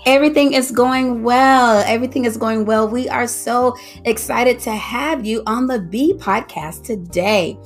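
A woman's voice: fairly high pitch, unhurried, few pauses, soft background music. A woman hosting a podcast interview, upbeat and exclamatory as she welcomes her guest. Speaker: podcast host.

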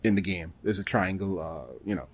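A man co-hosting a podcast, chatting casually about gaming in a halting way, often rephrasing himself mid-thought. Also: deep voice, no room sound. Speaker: podcast co-host.

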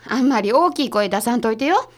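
A woman's voice: a little high, animated, a little fast. A woman playing a blunt, short-tempered female detective's assistant in a radio drama, with a Kansai accent. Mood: scolding. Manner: blunt, bossy.

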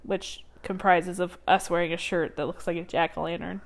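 A woman's voice: lowish voice, fairly even intonation, normal speed, creaky. A young woman co-hosting a casual podcast chat at a friend's house, talking loosely and laughing often. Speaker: podcast co-host. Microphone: lapel mic taken off.

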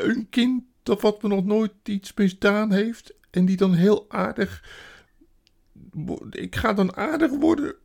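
A storybook reader voicing a sorrowful, guilt-ridden male faun who wails and confesses haltingly. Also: medium pitch, creaky, a little mellow, voice pushed up. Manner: wailing, self-accusing, halting.

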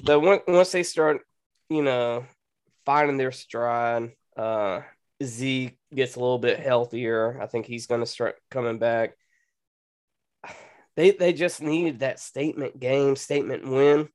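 Co-host, male, mid-range voice, unhurried delivery, easygoing reactions. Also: mid-range voice, smooth. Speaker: podcast co-host. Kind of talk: casual sports betting chat.

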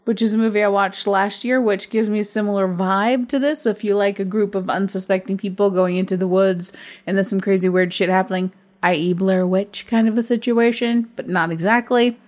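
Female co-host, mid-pitched, teasing, casual film chat. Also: fairly even intonation, brisk, darkish tone. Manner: teasing, laughs often. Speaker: podcast co-host.

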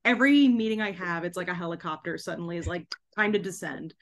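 A woman's voice: lowish voice, fast. A woman chatting casually as a podcast guest, bubbly and effusive in the way she talks. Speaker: podcast guest, debut author. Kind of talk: casual chat.

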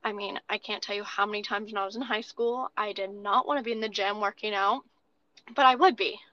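A woman's voice: mid-range voice, steady tone, a little fast, bright, forceful tone, dull audio. A young American woman chatting casually as an interview guest, earnest and informal. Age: young adult. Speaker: podcast guest.

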